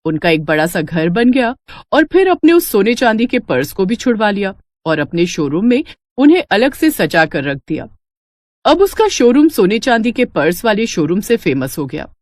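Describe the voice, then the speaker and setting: A woman's voice: mid-range voice, sing-song, normal speed, darkish tone, no room sound. A woman narrating a scripted moral bedtime story in a dramatic storytelling style.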